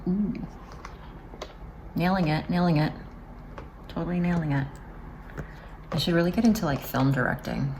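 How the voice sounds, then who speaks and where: A woman's voice: on the low side, moderate pace, pauses often, noisy background. A woman in her forties talking casually to camera in a vlog, chatty and rambling, with lots of fillers and restarts. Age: 40s. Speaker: vlogger.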